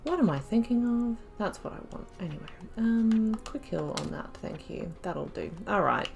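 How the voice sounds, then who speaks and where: A woman giving casual commentary while playing a game, thinking aloud and breaking into excited exclamations. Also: medium pitch, normal speed, vocal fry, faint music behind. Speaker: let's play host.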